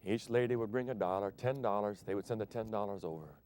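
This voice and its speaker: A man preaching a broadcast sermon as pastor, swinging between loud emphatic declarations and soft hushed phrases. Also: fairly low pitch, fast. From Thailand.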